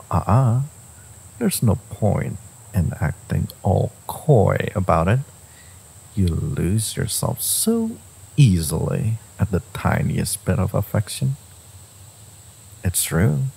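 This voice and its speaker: A man voicing a smug, possessive, flirtatious fantasy villain in an intimate romance audio roleplay, teasing with hesitant pauses. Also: gravelly, warm tone, slightly rough, heavily acted, poor audio. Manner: teasing, hesitant pauses, frequent low chuckles.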